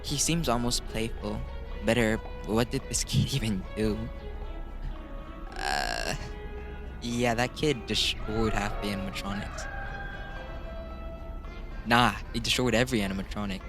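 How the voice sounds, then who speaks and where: Man, gaming host, lowish voice, casual read-aloud, stumbles and self-corrections, music clearly behind. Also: measured pace, long pauses. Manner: stumbles and corrects himself while reading. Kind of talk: reading visual novel aloud with casual commentary.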